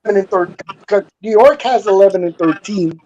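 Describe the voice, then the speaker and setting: A man's voice: sits high, moderate pace, a little mellow, lo-fi sound, slight background noise. A man from Houston hosting a casual stream chat, hesitant and groping for words while quizzing his guests. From Houston, Texas. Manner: hesitant, many fillers and restarts, quizzing guests. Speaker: stream host.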